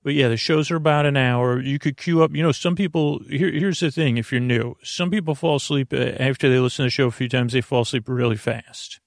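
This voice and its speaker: A man hosting a bedtime podcast in a halting, meandering monologue with a creaky voice that drifts onto tangents. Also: mid-range voice, no room sound, clean studio sound. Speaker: podcast host.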